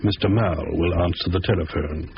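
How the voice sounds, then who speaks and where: A man's voice: low-pitched, moderate pace. An actor playing an urbane, condescending, pedantic criminal mastermind who savours his words, in a 1970s radio thriller. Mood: scheming. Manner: pompous, precise, savouring, chuckling. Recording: muffled old radio broadcast, faint noise.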